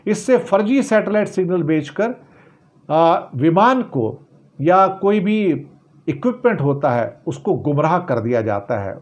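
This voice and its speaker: A man presents news commentary in a somewhat high-pitched voice. He explains things directly to the viewer with emphasis. He leans on rhetorical questions and restates key phrases. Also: a little mellow.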